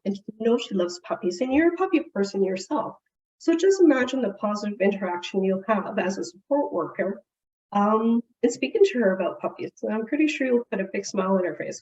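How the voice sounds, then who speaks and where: Woman, first language Portuguese, webinar presenter, conversational, distant mic, dull sound. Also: medium pitch. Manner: warm, conversational explaining with small restarts.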